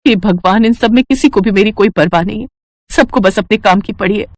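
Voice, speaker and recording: A woman's voice: mid-range voice, brisk, a little hiss or hum, close to an everyday voice. A woman as a plaintive, overworked young daughter-in-law. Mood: upset, plaintive.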